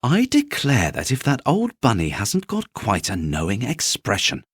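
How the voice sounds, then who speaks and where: A fussing children's nanny from a storybook, acted by a man. Her voice is husky and grumbling, with old-fashioned phrasing and a very dry sound. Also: mid-range voice, huge pitch swings, measured pace, a bit bright, no room sound, pitched above the performer's own voice, heavily acted. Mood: surprised. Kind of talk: bedtime storybook character voice.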